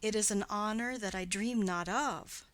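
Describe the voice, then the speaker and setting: A woman's voice: medium pitch, moderate pace, a bit bright, dry recording, natural voice. A woman voicing a polite, reserved young noble girl in a dramatic play reading.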